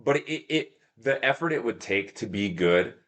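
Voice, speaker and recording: A man's voice: lowish voice, expressive, normal speed, a bit bright. A man talking casually as a podcast guest, telling running stories in a rambling, self-deprecating way, thinking aloud. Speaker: podcast guest, ultramarathon runner and shop owner. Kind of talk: casual interview talk, telling stories about racing.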